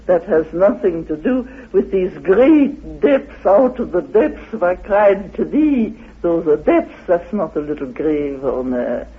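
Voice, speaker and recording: Emphatic high-voiced man on tinny radio. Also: expressive, husky. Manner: emphatic, halting, repeats phrases for weight. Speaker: interviewed guest. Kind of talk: impassioned explanatory discussion. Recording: narrow, tinny old radio recording.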